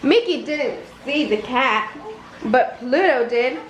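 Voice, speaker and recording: A woman reads a children's bedtime story in a high voice. Her delivery is slow and deliberate, paced like a picture book read-aloud. The room adds a clear echo around her words. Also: animated. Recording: roomy echo, noticeable background noise.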